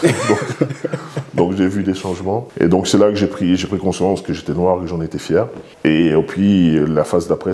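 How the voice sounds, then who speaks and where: A man's voice: low voice, mellow. A man in his forties from Congo, answering interview questions thoughtfully, with many hesitations and repeated words. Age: 40s. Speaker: guest, father of the host. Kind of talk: relaxed interview talk.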